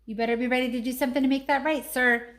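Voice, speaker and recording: A woman's voice: high voice, fairly even intonation, rapid-fire, a bit bright. A middle-aged woman reading a story aloud expressively, slipping into casual, conversational talk. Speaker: story reader.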